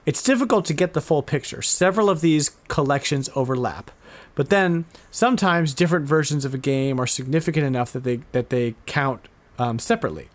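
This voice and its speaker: Casual, halting male podcast host. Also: fairly high pitch. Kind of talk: casual chat about retro game news.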